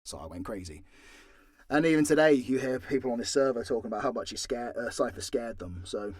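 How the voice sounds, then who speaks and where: A man's voice: mid-range voice, lively intonation, fairly quick, slightly rough, no room sound. Candid, sweary man chatting, dry close sound. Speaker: voice actor and audio creator answering fan questions. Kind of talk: casual unscripted Q&A talk.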